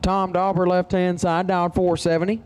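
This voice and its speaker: A man's voice: high-pitched, little pitch movement, moderate pace, a little mellow, smooth. A man working as a drag racing announcer, calling matchups and results in terse, clipped phrases. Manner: terse, clipped number-heavy delivery. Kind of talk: race commentary with clipped results calls.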